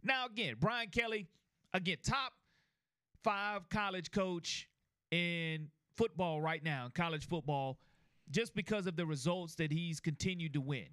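A man's voice: on the high side, a bit bright, clear, resonant tone, dry recording. A man co-hosting a sports talk show, earnest, often repeating his first words as he starts a point. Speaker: co-host.

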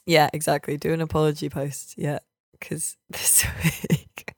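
A woman's voice: deep voice, flat delivery, measured pace, gravelly, dry recording, studio quality. A British woman hosting a podcast in a casual, chatty way, with a jokey, teasing manner. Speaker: podcast host and games journalist.

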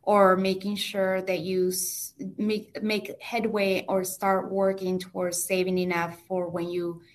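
A woman's voice: medium pitch, level tone, unhurried. Woman with a heavy Mexican accent. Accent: Mexican Spanish. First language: Spanish. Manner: patient, explains step by step with examples. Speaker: financial advisor guest. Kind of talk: explanatory interview answers.

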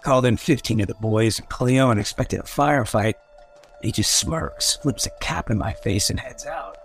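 An actor playing a rough, swaggering ghoul mayor of a seedy town, slangy and irreverent, in a radio drama. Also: male voice, mid-range voice, normal speed, soft background music, natural voice. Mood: gruff, irritated. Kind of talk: old-time radio drama acting.

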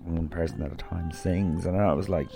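A man's voice: low voice, fairly even intonation, moderate pace, vocal fry, over music. An Irish man hosting a podcast, chatting loosely and rambling through stories before interviewing a guest. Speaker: podcast host. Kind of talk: casual rambling monologue and interview.

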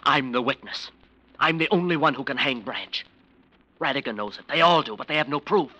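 Tense, sharp-voiced troubled lawyer. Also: male voice, medium pitch, rough recording. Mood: anguished, fearful. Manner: tense, earnest, halting. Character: conflicted, frightened male defense lawyer entangled with a gangster. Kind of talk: 1940s-50s radio crime drama acting.

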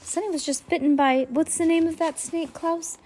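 A woman voicing a panicking middle-aged male banker who babbles in a high-pitched rush. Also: steady tone, normal speed, a little mellow. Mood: incredulous.

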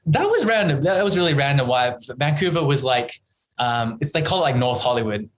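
A man's voice: mid-range voice, very animated, brisk. A man in his thirties with an Australian accent, telling his story casually and informally, often correcting himself mid-sentence. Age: 30s. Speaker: podcast guest, entrepreneur.

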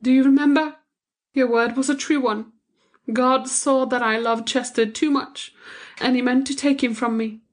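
A woman's voice: high voice, fairly even intonation, normal speed, voice pushed up, natural voice. A woman reading a proud, grief-stricken middle-aged mother in an audiobook, bitter and intense. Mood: grieving.

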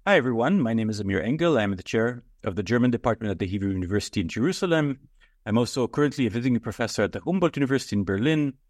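A man hosting an academic book interview, asking polite, measured questions. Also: mid-range voice, lively intonation, darkish tone, dry recording. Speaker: podcast host.

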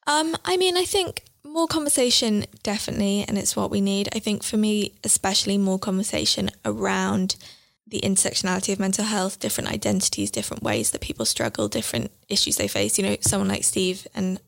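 A woman's voice: medium pitch, lively intonation, fairly quick, creaky. A young adult woman speaking earnestly and reflectively as an interview guest, with frequent "like" fillers. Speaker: book editor and guest. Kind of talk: interview conversation.

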